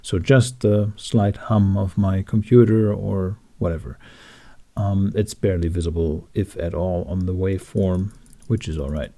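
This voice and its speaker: Man, low-pitched, creaky vocal fry, casual tutorial narration, informal and wry. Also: fairly even intonation, a little slow, mellow. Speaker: tutorial host.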